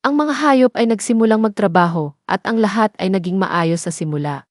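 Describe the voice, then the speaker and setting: A woman's voice: mid-range voice, darkish tone, no echo, studio quality. A woman with a Filipino accent narrating a scripted children's bedtime story. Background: music bed.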